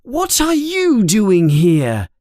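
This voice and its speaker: A woman's voice: mid-range voice, wildly swooping pitch, drawn-out words, warm tone, no echo, studio quality, pitched above the performer's own voice, exaggerated voice. A woman voicing a young boy wizard who speaks earnestly and warily. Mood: wary. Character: young boy wizard, brave but wary.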